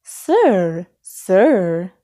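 A woman's voice: fairly high pitch, sing-song, slow pace, dark tone, heavily acted. A woman voicing a courteous, eager male pet shop salesperson in a storybook, with a formal, persuasive manner. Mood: hopeful. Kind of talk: children's storybook character voice.

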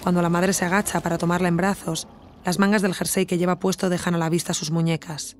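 An actress with a Spanish accent from Spain playing an earnest, reflective woman investigator who narrates her audio documentary in a measured, intimate way. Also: on the low side, little pitch movement, darkish tone, a little hiss or hum, close to an everyday voice. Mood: reflective, absorbed. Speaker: podcast narrator and protagonist. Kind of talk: intimate scripted narration and natural dialogue in an audio drama.

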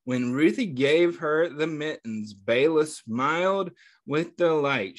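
A man with a slightly high voice reads a children's book aloud, slow and unhurried. He stops between passages to ask his young listeners gentle questions and to react to their answers. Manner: slow, pausing reading with small slips. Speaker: children's storyteller. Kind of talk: read-aloud storytime.

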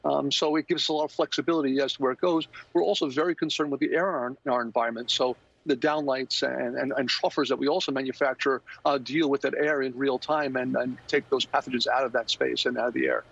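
A man's voice: mid-range voice, steady tone, fast talker, creaky, a bit bright, husky, dry recording. A man in his fifties, a company founder, explaining his technology in a remote news interview, hesitant with many fillers and repeated words. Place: office with plants. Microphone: video call. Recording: dull lo-fi video call audio.

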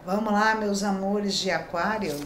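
Middle-aged female tarot reader, mid pitch, reassuring chatty delivery, echoey small room. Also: fairly even intonation, unhurried, background noise. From Brazil. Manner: chatty, reassuring, tag questions. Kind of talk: daily horoscope tarot reading. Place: small decorated room indoors.

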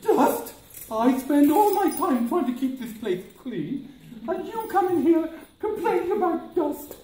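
A woman's voice: high voice, sing-song, a little slow, mellow. A woman playing an indignant, house-proud lamp spirit in an amateur pantomime, exclaiming crossly. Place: village hall stage, indoors. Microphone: distant audience camera. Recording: echoey village hall, distant, noisy audience.

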